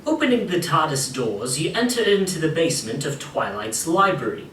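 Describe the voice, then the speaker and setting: Man as a very animated game narrator. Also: fairly high pitch, normal speed, slight background noise. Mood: guiding, storytelling. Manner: expressive, theatrical. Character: dramatic, guiding narrator of an adventure game. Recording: echoey hall playback, distant, faint music.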